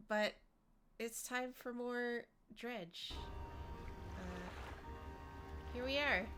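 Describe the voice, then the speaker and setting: A woman streaming a video game with a stuffy, congested nose, chatting and giggling playfully with her viewers. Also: fairly high pitch. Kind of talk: casual live-stream chat while gaming. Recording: game music playing under voice. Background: game music.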